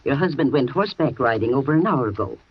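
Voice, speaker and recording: An actress playing a concerned housekeeper in 1940s radio drama style, brisk and solicitous. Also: female voice, extremely low pitch, animated, exaggerated voice. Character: concerned middle-aged housekeeper. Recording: dull old radio broadcast, husky.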